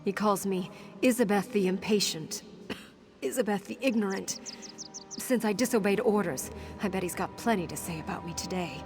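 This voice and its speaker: A young, defiant female scout from a fantasy video game, acted by a woman, speaks earnestly at a medium pitch. She sounds like a wounded, dutiful daughter, with faint music behind her. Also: moderate pace, natural voice. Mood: bitter, resigned. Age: young adult.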